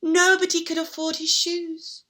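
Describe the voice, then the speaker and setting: A woman telling a children's story in a theatrical, interactive way, with playful repeated sound words and drawn-out emphasis. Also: extremely high pitch, flat delivery, sharp tone. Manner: invites listeners to join in.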